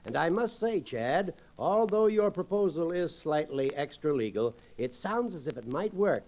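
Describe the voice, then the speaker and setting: Male frontier judge: raised pitch, courteous formal diction, old radio drama. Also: very animated, moderate pace, darkish tone. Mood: amused. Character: genteel, amused frontier judge.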